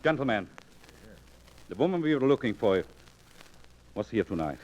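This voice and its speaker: An actor playing a grim, vengeful painter with intense, declamatory delivery in 1940s radio drama style. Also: male voice, medium pitch, big pitch swings, moderate pace, a little mellow, lightly acted. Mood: grim resolve. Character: grim, vengeful male painter hunting an unseen woman. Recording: dull 1940s radio recording.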